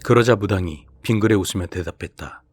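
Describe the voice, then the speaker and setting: A man's voice: deep voice, fairly quick, slightly rough, soft background music. A man reading scripted horror narration as the storyteller of a radio-style show.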